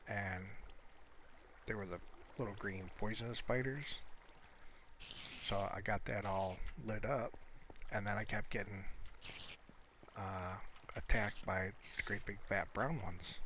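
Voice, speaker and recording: A man giving casual, rambling gameplay commentary, explaining things in an unhurried way as he plays. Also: fairly low pitch, fairly even intonation, fairly bright tone, a little hiss or hum. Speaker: gamer showing a Minecraft build.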